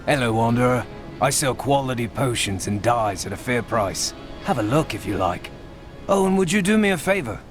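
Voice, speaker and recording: An actor playing a friendly village potion seller with a chatty, brisk sales manner. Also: male voice, medium pitch, animated, soft background music, lightly acted.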